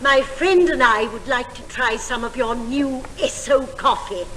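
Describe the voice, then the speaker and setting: A woman's voice: high-pitched, unhurried. A woman playing a genteel, dithery older lady, polite and earnest, in a 1950s stage comedy sketch. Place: large theatre stage. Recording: very noisy 1950s theatre recording.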